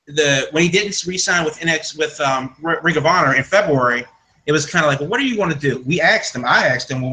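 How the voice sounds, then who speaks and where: A man's voice: on the high side, bright, forceful tone, poor audio. A man hosting a casual podcast, rambling through news with many fillers and restarts. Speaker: podcast host. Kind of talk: casual wrestling news chat.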